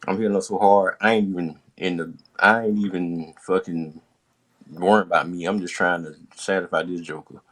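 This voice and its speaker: Low-voiced man telling a comic story. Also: moderate pace. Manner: animated slangy retelling, heavy swearing. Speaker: podcast host.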